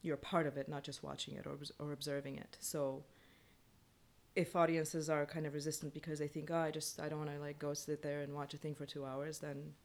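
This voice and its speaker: A woman's voice: low voice, little pitch movement, a little fast, gravelly. A woman chatting casually as a playwright guest on a panel, explaining things informally in short phrases.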